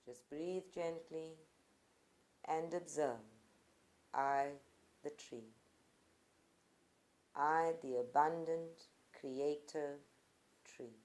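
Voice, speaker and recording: A woman guides a group meditation in a fairly low voice. Her delivery is very slow and deliberate, with short phrases set apart by pauses. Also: darkish tone. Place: live group session at a centre.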